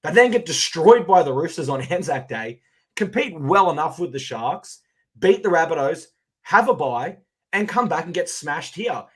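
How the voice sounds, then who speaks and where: Man, Australian accent, slightly husky, lively podcast host, energetic recap. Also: a little high, moderate pace. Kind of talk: casual sports betting podcast chat.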